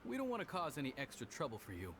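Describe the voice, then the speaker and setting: A polite, hesitant townsman speaks in a video game. His pitch varies noticeably, and there is clear noise behind him. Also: huge pitch swings, quick pace.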